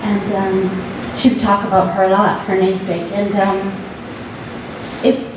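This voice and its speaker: Panelist, woman, hesitant delivery with fillers, picked up far off in an echoing hall with steady noise. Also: mid-range voice, measured pace. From Alaska. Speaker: panelist, visual artist. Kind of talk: panel talk. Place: large gallery hall.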